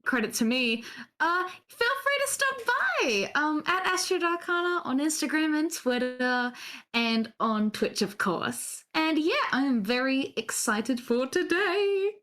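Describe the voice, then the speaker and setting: High-voiced, slow-talking stuffy woman. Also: very animated, a bit bright. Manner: giggly, playful. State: stuffy from a cold. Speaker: player.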